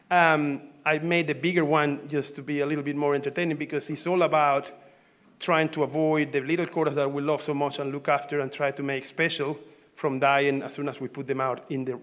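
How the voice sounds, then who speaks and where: A man's voice: a little high, fairly bright tone. Venezuelan-born man giving a conference talk. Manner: conversational, halting, frequent fillers and restarts. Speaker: researcher presenting at a symposium.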